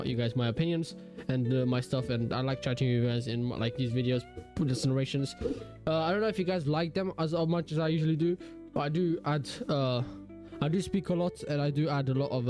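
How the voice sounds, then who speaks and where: A man's voice: a little high, a little mellow. A young man with a cold, narrating his drawing video casually, rambling with many fillers and restarts. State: has a cold, voice deeper than usual. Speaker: digital art YouTuber. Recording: faint guitar music behind. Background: faint guitar music.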